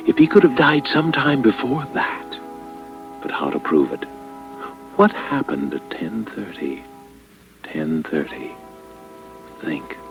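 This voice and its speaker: A man's voice: low-pitched, dull audio, heavily acted. An actor playing a desperate, cold murderer scheming his perfect crime in a brooding, theatrical old radio drama monologue. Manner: theatrical, brooding, halting self-talk. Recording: band-limited old radio recording with music behind.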